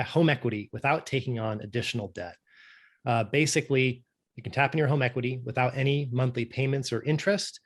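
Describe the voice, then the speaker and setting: Norwegian-born man explaining patiently. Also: mid-range voice. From Norway. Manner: explains patiently with frequent "right?" tag questions. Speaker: guest, company director. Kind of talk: conversational explaining.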